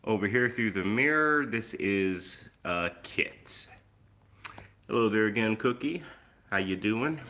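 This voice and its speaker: A man's voice: lowish voice, animated, bright, forceful tone. Easygoing man with a lingering cough. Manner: easygoing, chatty, unhurried. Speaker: live-stream host. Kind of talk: casual live-stream chat. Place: outdoors, then indoors at home. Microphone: phone.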